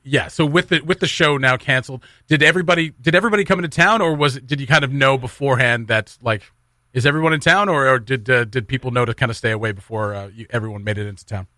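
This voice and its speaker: A man's voice: medium pitch, quick pace, pushed and bright, no echo, studio quality. A man hosting a casual radio interview in an informal, agreeable way. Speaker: radio host. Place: radio studio.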